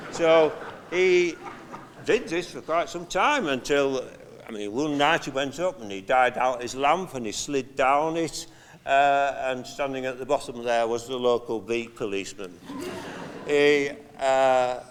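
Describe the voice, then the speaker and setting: An older man giving a halting, conversational memorial eulogy, telling anecdotes from notes with frequent pauses. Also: mid-range voice, smooth. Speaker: eulogy speaker, friend of the deceased. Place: large hall at a memorial service. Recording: long hall reverb.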